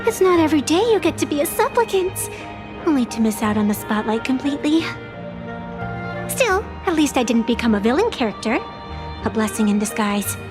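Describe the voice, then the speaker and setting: A playful mysterious game girl, high voice, dramatic and theatrical, music playing behind. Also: very animated, a little fast. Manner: teasing, dramatic. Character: playful, theatrical young woman with secret powers. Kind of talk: scripted game dialogue.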